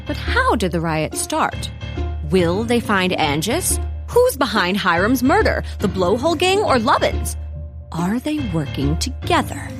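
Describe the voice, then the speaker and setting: A woman's voice: mid-range voice, wildly swooping pitch, measured pace, music in the background. A woman playing a theatrical, wry narrator, delivering scripted dramatic storytelling for a comic audio drama. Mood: suspenseful.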